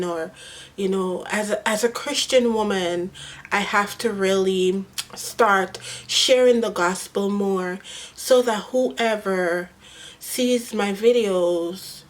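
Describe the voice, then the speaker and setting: Woman in her twenties or so, talking slow and heartfelt into her vlog cam, lots of pauses. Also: mid-range voice. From Guyana. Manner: slow, earnest and reflective, with pauses. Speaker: vlogger. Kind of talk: unscripted personal vlog.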